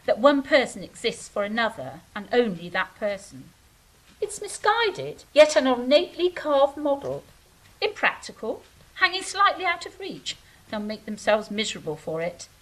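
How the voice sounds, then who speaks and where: A woman performs a wistful, idealistic angel in a stage-style drama. Her husky voice rises and falls widely. She speaks in a measured, philosophical manner. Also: fairly high pitch, very animated, low-quality recording. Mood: musing. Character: idealistic, earnest female angel, wistful and stately.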